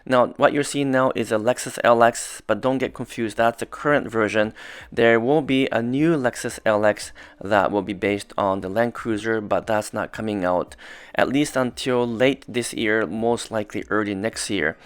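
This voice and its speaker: A middle-aged man speaks with a smooth, resonant voice that sits on the lower side. He hosts a casual vlog and walks through technical details step by step, with frequent filler pauses. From Japan. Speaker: automotive video host.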